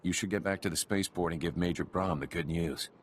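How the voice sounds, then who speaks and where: Game soldier, male actor: low voice, brisk, satisfied, faint ambient noise. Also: lightly acted. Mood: pleased. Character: brisk, pleased military man reporting to a hero. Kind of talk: video game cutscene dialogue.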